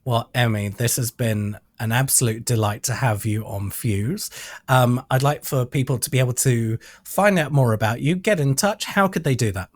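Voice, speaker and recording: A British man hosting a podcast interview, asking questions in deliberate, wordy phrasing. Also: mid-range voice, dry recording. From United Kingdom.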